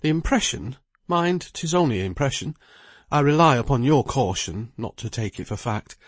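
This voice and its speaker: A man's voice: mid-range voice, expressive, no room sound, pitched above the performer's own voice, lightly acted. A man voicing a busy middle-aged lawyer who speaks in a precise, emphatic way. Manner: precise, emphatic, talks quickly.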